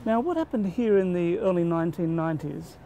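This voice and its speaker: A man's voice: high voice, lively intonation, brisk, vocal fry, mellow, slight background noise. A man in his fifties interviewing a pastor, with friendly, affirming interjections, recorded outdoors on a lapel mic. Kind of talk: interview questions. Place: outdoor rooftop terrace over a harbor.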